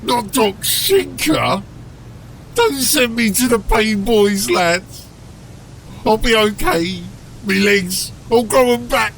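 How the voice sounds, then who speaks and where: A pitiful ork deckhand in a fantasy audiobook. The voice is pushed very high into a whining, gravelly wail with rough grammar. Faint rumbling noise runs behind it. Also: male voice, animated, slow pace, fairly bright tone, distant mic, full character voice, poor audio. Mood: pleading. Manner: high wailing, coarse grammar. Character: whining, wailing, injured male ork crewman. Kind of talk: fantasy audiobook character acting.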